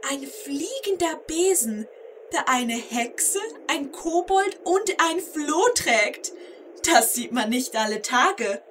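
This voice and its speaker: Woman playing a plucky, high-voiced kobold. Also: lively intonation, slow, fairly bright tone, distant mic, a little hiss or hum, exaggerated voice. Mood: thrilled. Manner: emphatic, encouraging. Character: plucky, bossy, cheerful young female kobold adventurer. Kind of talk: children's audio drama acting.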